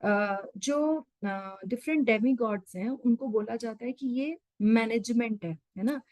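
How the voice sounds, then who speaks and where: Woman, online scripture teacher on a video call, fairly high voice, dry close sound, everyday examples. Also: a little slow, a little mellow, dry recording. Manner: explains with everyday examples, mixes English terms. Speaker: Gita study session leader.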